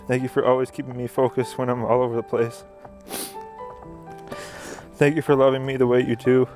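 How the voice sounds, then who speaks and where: A man's voice: mid-range voice, level tone, brisk. A young man, a groom, earnestly reading his written wedding vows at an outdoor ceremony. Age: young adult. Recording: music under the voice. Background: music.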